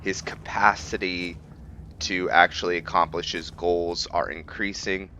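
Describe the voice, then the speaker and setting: A man doing casual commentary as he plays a roleplaying game, musing aloud in a halting way about his character. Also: sits low, flat delivery, pushed and bright, slight background noise. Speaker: gamer hosting a roleplay playthrough.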